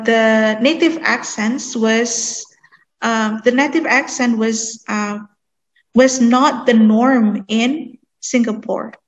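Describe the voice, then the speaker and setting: A woman lecturer with an Indonesian accent, answering a student in a hesitant, deliberate, explanatory way and mixing in her native language. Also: a little high, muffled recording. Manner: hesitant, deliberate, switching between English and Indonesian. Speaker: university lecturer.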